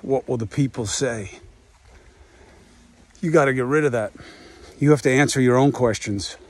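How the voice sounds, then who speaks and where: A man's voice: mid-range voice, darkish tone. A man in his fifties hosting a podcast, talking through a reflective monologue outdoors on a walk, musing and asking rhetorical questions. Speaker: podcast host. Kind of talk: reflective monologue while walking.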